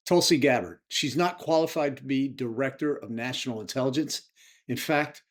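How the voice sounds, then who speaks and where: An American man speaking emphatically and indignantly as a political activist making his case. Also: on the high side. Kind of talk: impassioned spoken political advocacy.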